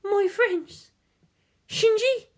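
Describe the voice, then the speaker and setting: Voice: very high voice, drawn-out words, pitched above the performer's own voice, heavily acted. Narator memerankan gadis remaja lemah bersuara tinggi. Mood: exhausted, pained.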